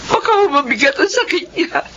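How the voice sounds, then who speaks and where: A high, pleading voice of a worried mother in a Filipino radio drama. She is emotional and distraught about her sick child. The recording is dull and clearly noisy. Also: sing-song, normal speed, pushed and bright, full character voice.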